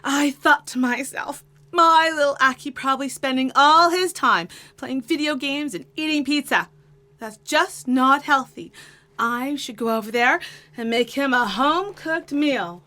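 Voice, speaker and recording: Woman playing a fussy, high-voiced meddling mother. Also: measured pace, sharp tone. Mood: fussing, apologetic. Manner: fussy, chatty, exasperated. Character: doting, meddling, nagging mother of a grown son. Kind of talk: comic audio drama acting.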